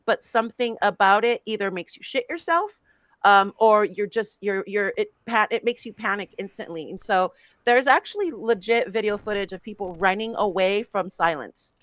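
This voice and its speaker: A woman's voice: medium pitch, lively intonation, normal speed, fairly bright tone, no echo. A woman co-hosting a casual film podcast, talking animatedly with frequent laughs and many restarts. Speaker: podcast co-host. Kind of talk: casual podcast chat about films.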